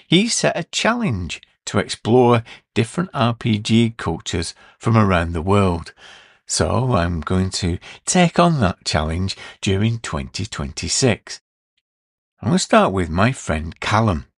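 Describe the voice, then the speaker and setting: A man hosting a podcast, introducing the episode and his guest in a relaxed, chatty way. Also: lowish voice, gravelly, dry recording, clean studio sound. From Britain. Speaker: podcast host. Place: room.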